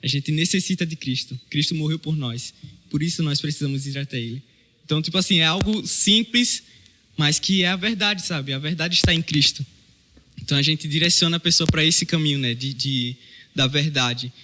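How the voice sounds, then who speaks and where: A man with a Brazilian Portuguese accent, a mission trainee talking earnestly on a podcast, often pausing and restarting his sentences. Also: fairly high pitch, pushed and bright. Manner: earnest, hesitant with many fillers and restarts. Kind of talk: casual podcast chat.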